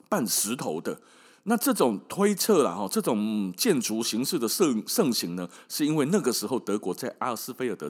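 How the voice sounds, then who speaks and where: A man's voice: medium pitch, breathy edge. A man with a Taiwanese Mandarin accent telling stories as a podcast host in a casual, colloquial, wry and emphatic way, often tossing in rhetorical questions. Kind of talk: casual storytelling monologue.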